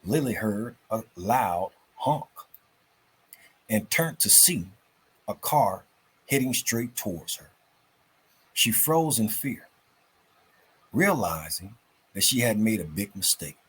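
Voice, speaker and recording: A man hosting and reading for a children's story show in an informal, folksy, unhurried way, with a husky, raspy voice. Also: on the low side, big pitch swings, fairly bright tone, low-quality recording. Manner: folksy, unhurried, addresses listeners as family.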